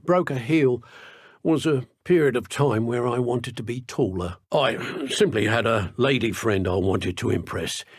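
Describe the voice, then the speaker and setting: A grumbling dark wizard for a fantasy game. He is husky and expressive, haughty and wry, recorded close and dry. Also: medium pitch, dry recording, heavily acted. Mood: modest, wistful. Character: grumbling, self-important British male dark wizard criminal. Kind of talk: video game character voice acting.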